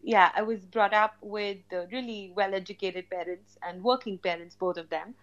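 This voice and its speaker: A woman's voice: medium pitch, fairly even intonation, moderate pace, a bit bright, lo-fi sound. A woman in her forties from India, answering interview questions in a chatty way with frequent laughter. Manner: chatty, laughs often, many fillers. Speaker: guest, businesswoman. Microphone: phone line from India.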